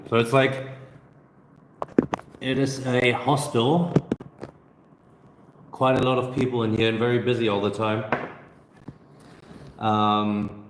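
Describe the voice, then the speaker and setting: Brief: thirties male travel vlogger, relaxed hesitant live Q&A on a phone, roomy echo, faint background ambience. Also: lowish voice, a little hiss or hum. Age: 30s. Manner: relaxed, hesitant with many fillers and restarts. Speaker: travel vlogger answering viewer questions. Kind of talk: casual live stream Q&A. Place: busy hostel co-working space. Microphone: phone camera.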